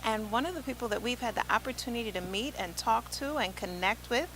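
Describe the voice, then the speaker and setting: Bright, upbeat American woman host. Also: fairly high pitch, brisk, slight background noise, low-quality recording. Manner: upbeat, affirming with frequent "yes". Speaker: interview show host. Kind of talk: lively interview hosting. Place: large event venue.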